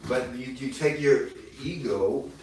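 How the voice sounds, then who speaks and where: A man's voice: takes it slowly, darkish tone, slight background noise. Man ranting, echoey and far off. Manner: profane, taunting, mocks critics in shrill put-on voices. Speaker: livestreamer. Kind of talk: angry livestream rant. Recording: echoey room, voice from far off the mic.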